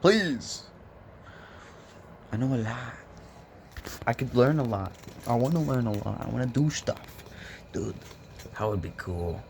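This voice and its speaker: A young man with a voice hoarse from smoking, rambling and joking in a laid-back way into his phone. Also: mid-range voice, normal speed, long pauses, warm tone, a little hiss or hum. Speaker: vlogger. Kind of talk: rambling casual vlog chat.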